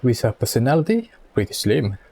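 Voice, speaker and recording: A man giving casual, halting playthrough commentary as a game streamer, with joking asides. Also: medium pitch, sing-song, creaky, warm tone, a little hiss or hum. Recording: music behind.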